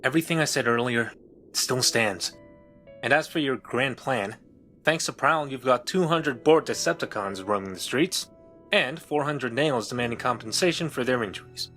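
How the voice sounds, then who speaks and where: A man's voice: medium pitch, normal speed, bright, forceful tone, soft background music, natural voice. A man playing a brash, cocky robot who argues in a sarcastic, needling way in an audio drama. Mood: sarcastic, challenging. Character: brash, sardonic male robot rival, cocky and argumentative.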